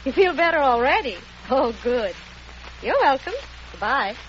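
An actress playing a cheerful receptionist on the phone in a 1940s radio promo, sing-song and upbeat. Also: female voice, high voice, big pitch swings, moderate pace, fairly bright tone. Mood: chirpy. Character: chirpy woman receptionist on the phone. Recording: dull 1940s radio broadcast with hiss.

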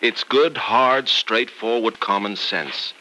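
Low-voiced lovesick radio-drama young doctor. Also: male voice, slow, sharp tone. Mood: resolute, pained. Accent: American. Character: stubborn, lovesick young male doctor, playfully gallant. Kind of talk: old-time radio soap opera acting. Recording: noisy old radio broadcast.

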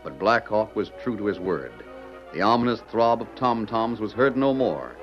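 An American actor playing the grave narrator of an old-time radio Western, reading in a measured, dramatic way. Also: male voice, fairly low pitch, animated, close to an everyday voice. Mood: portentous, dramatic. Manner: measured, portentous. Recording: muffled vintage radio, music behind.

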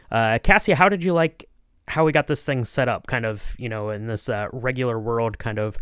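A man's voice: on the low side, fairly bright tone, clear, resonant tone, dry recording, clean studio sound. A man hosting a podcast in a casual, informal, conversational way. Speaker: podcast host. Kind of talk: casual chat.

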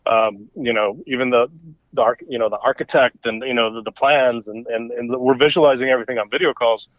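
A man's voice: mid-range voice, steady tone, brisk, no echo. A man from El Salvador, a native Spanish speaker, talking casually as a guest, rambling with many fillers and restarts. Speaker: gallery owner, guest. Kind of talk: casual conversation. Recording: dull lo-fi audio.

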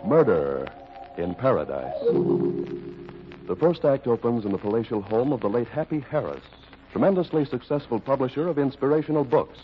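Man as dramatic male announcer, formal scripted narration, lively intonation, 1940s radio, dull sound, music underneath. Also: mid-range voice, close to an everyday voice. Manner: theatrical, measured, formal. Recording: muffled old radio, music behind.

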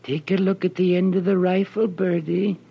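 An actor playing an eccentric, fussy snake expert with a creaky, raspy voice and a folksy, coaxing manner, in 1940s radio style. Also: male voice, high voice, level tone, moderate pace, full character voice. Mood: soothing, coaxing. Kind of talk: 1940s radio character acting. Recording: dull old radio recording.

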